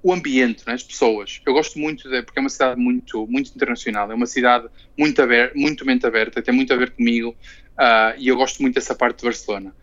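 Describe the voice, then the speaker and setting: A young man talks in a loose, rambling way, restarting phrases and tacking on tag questions. He speaks fast, and the recording sounds dull and lo-fi. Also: medium pitch, steady tone, fairly bright tone. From Portugal. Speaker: interviewee, Portuguese living in Barcelona. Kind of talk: casual interview conversation.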